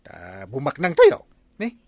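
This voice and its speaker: A man with an Ilocano accent playing an excitable, scheming village captain in a Filipino comedy radio drama. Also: fairly high pitch, slow pace, no room sound, full character voice. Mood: scheming, eager. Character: excitable scheming male village captain chasing buried riches.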